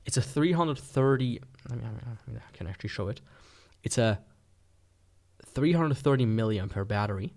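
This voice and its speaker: A man's voice: mid-range voice, expressive, normal speed, a little mellow. A man giving a casual, unscripted product review in a rambling, informal, skeptical way. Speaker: gadget reviewer.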